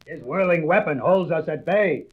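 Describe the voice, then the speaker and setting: An actor playing a cold, imperious alien invader commander with open contempt, in a comic book audio drama. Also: male voice, fairly high pitch, animated, moderate pace, lightly acted. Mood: wary, commanding. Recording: noisy, dull old record with clicks.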